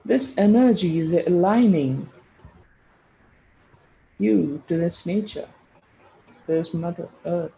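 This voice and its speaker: A man with a high-pitched yet very soft, mellow voice that turns creaky, guiding a meditation. He gives slow, soothing instructions and repeats short phrases, on a dull recording. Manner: repeats phrases for emphasis, addresses listeners as friends. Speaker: guided meditation teacher.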